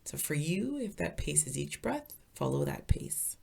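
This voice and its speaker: A woman leading a guided meditation as a teacher, calm, soothing and deliberate, with a soft, breathy voice. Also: sits low.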